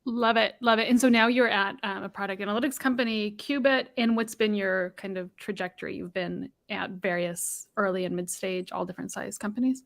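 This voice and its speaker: A woman hosting a casual tech show, upbeat and quick to affirm her guests. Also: mid-range voice, fairly even intonation, gravelly, dry recording, clean studio sound. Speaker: podcast host. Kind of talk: casual chat.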